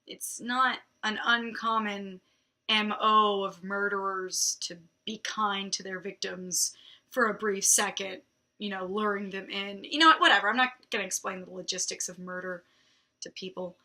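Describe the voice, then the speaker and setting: A woman's voice: medium pitch, fairly even intonation, moderate pace, bright, forceful tone. A young adult woman hosting a book show, reading a novel aloud and breaking off for wry, drily mocking commentary. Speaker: book reviewer host.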